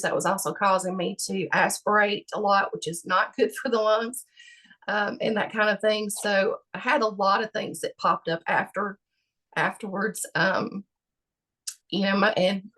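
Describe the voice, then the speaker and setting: Gravelly-voiced woman, halting answers. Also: medium pitch, steady tone, bright, forceful tone. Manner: halting, many fillers and restarts. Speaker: patient guest. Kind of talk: personal interview answers.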